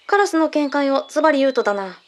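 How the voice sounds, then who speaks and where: A woman playing a brisk, matter-of-fact female agent in a radio drama, speaking in blunt, mannish phrasing as she explains. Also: high-pitched, expressive. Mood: matter-of-fact, explanatory. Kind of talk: Japanese radio drama acting.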